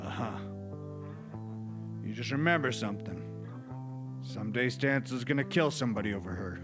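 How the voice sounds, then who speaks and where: A man's voice: mid-range voice, expressive, normal speed, over loud music, lightly acted. A man acting in a Western radio play as a firm, authoritative marshal who admonishes and keeps the peace. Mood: cautioning. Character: firm, level-headed Old West marshal.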